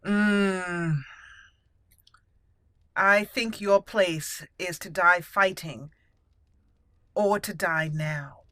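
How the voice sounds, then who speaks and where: A woman hosting a roleplay gaming video, mixing casual commentary with deliberate readings of her character's dialogue. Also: fairly low pitch, pushed and bright, dry recording, studio quality.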